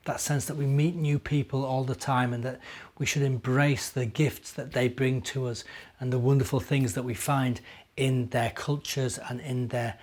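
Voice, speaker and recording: A middle-aged man, a minister, talking and reading to young children in an expressive, simple storytelling way. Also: medium pitch. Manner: expressive, simple child-directed delivery with rhetorical questions. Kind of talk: children's storytelling and gentle chat.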